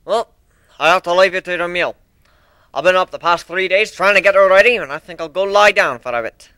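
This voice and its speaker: A man's voice: high voice, a little fast, bright, forceful tone, no echo, pitched above the performer's own voice. A man voicing a proud, sentimental old minotaur shipbuilder in an amateur dramatic story reading. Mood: weary.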